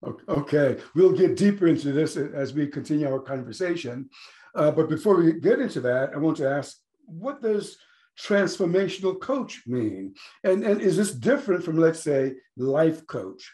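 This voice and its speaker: A man's voice: a little high, very animated, normal speed, mellow. A man hosting a podcast, asking friendly, rambling questions. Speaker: podcast host. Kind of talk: podcast interview.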